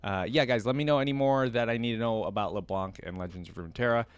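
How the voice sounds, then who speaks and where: A man's voice: mid-range voice, quick pace, clean tone, no echo. A man hosting a casual reaction video, talking enthusiastically and rambling with many fillers.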